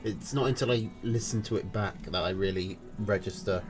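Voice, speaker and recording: A man's voice: on the low side, normal speed, over music. A man with a nasal voice interviewing a player casually, tired and rambling. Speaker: stream interviewer, caster. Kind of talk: casual post-match interview.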